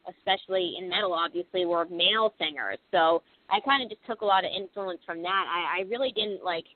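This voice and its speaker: A woman's voice: lowish voice, fairly quick, no echo. A young woman from Pennsylvania, a band singer guest on a casual radio interview, rambling with lots of "like" and "you know". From Pennsylvania, USA. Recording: lo-fi phone-like radio recording.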